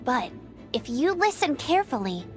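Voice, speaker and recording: A spirited young female scout in a video game, very high and lively in pitch. She is bold, earnest and determined, with music playing clearly behind her. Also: moderate pace.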